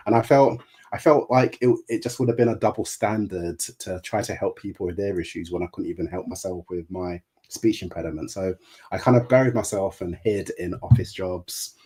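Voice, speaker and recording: A middle-aged man with a low-pitched, mellow voice talks through his career as a guest on a remote interview. He stutters, so words and sounds repeat as he goes. He speaks in an easy, conversational way. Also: moderate pace, breathy edge. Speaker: guest, therapist and life coach.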